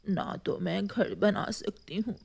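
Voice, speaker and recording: A woman voicing a gentle, kindly and plaintive old female dove in a cartoon. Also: medium pitch, fairly even intonation, slow, creaky, husky, full character voice. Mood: sorrowful.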